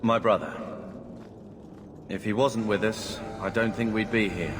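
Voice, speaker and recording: An actor playing a terse, sullen younger brother in a video game. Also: male voice, fairly low pitch, steady tone, unhurried, natural voice. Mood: guarded. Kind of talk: video game character acting. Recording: music behind the dialogue.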